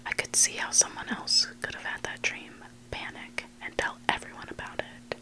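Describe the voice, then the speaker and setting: A person reading stories aloud in a breathy, hushed ASMR whisper, close to the mic. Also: normal speed, rough recording. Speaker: host reading listener-submitted stories. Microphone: close mic, made for headphones.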